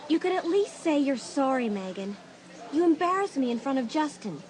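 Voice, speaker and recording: Wounded, high-voiced teenage girl. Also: very animated, moderate pace, a little mellow. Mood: indignant. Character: hurt, indignant, easily wounded teenage girl. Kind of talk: dramatised audiobook acting.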